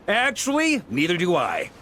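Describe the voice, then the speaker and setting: Seasoned warrior leader for a game played by a man: mid-pitched, very animated, genial and assured. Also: noisy background, natural voice. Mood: amused. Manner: explanatory, expressive, easygoing. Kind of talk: video game character acting.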